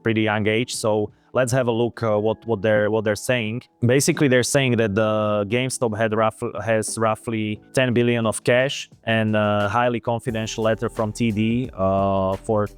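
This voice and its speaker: Slovak-accented man, lower voice, halting. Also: little pitch movement, smooth, faint music behind. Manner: halting, many fillers and restarts. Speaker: investment video host. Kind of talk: casual video commentary.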